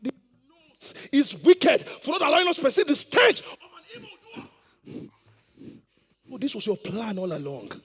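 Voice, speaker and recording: A man's voice: extremely high pitch, wildly swooping pitch, moderate pace, pauses often, breathy edge, full character voice. A man with a Nigerian accent acting a domineering, hot-tempered royal plotter on stage, imperious and full of threats. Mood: bitter. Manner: imperious, barking threats and proverbs.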